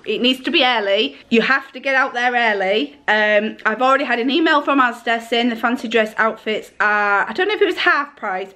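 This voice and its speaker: A woman, chatting casually to a handheld camera with a croaky voice from a cold, rambling with lots of ums. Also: on the high side, sharp tone. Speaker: family vlogger. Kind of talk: casual vlog chat to camera. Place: home kitchen, then shops. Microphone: handheld camera at arm's length.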